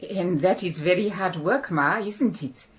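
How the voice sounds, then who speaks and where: A woman playing a calm, shrewd woman. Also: lowish voice, lightly acted. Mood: dry. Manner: calm, measured, dryly pointed. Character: calm, shrewd, composed woman in middle age. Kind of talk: radio drama acting.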